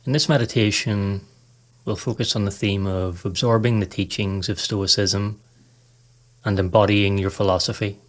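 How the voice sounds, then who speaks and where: Low, even-toned man guiding meditation. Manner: calm, measured, instructive. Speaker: podcast host and meditation guide. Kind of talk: scripted guided meditation narration.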